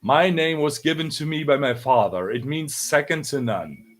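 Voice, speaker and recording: A man's voice: on the high side, normal speed, natural voice. A man reading as an earnest, resolute boy shogun, speaking in formal, declamatory speeches. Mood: resolute, proud.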